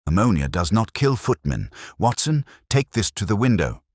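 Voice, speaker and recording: A man's voice: on the low side, sing-song, moderate pace, a little mellow, dry recording, studio quality, pitched above the performer's own voice. A man voicing a grave, incisive detective in an audiobook, terse, cryptic and commanding. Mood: stern, commanding. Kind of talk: audiobook character acting.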